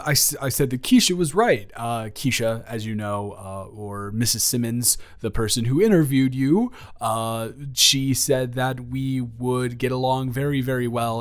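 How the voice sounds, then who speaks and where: A man plays a joking, flirty office boss in an improvised roleplay audio. He teases easily and trips over his own words, restarting often. His voice sits at an ordinary male pitch. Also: no echo, clean studio sound, natural voice. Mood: friendly, professional. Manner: rambling, stammering, self-interrupting; playful, teasing.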